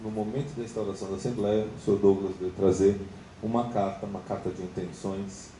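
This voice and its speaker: Brazilian man, formal meeting chair, measured and hedging; low voice, distant roomy pickup with noise. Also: little pitch movement, mellow. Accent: Brazilian Portuguese. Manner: measured, hedging, frequent "tá?" checks. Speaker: judicial administrator chairing a creditors' meeting. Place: meeting room. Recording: roomy, distant mic, noisy.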